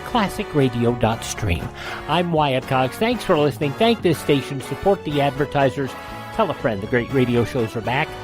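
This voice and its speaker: A man's voice: mid-range voice, animated, normal speed. A man hosting a classic radio show, scripted but conversational, with folksy asides. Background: music.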